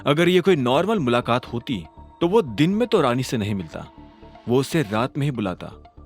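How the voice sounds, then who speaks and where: Young male lead voiced by a narrator: brooding, resolute, suspicious, expressive pitch, music bed, audio series. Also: normal speed. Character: determined, suspicious young man hunting his father's killer.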